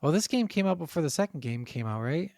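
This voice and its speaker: A man's voice: a little high, lively intonation, fast, vocal fry, darkish tone, no room sound, clean studio sound. A man co-hosting a gaming podcast, chatting informally and swearing casually. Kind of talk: casual chat about video games.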